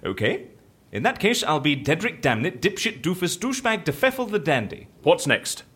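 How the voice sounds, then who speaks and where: A man's voice: a little high, sing-song, normal speed, a bit bright, slightly rough, full character voice. A gleeful oddball interviewer man, theatrical comedy podcast acting, voice leaps all over the place. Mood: delighted, playful. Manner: theatrical, exclamatory, playful. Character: eccentric, excitable, curious male interviewer, theatrically gleeful. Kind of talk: audio comedy drama acting.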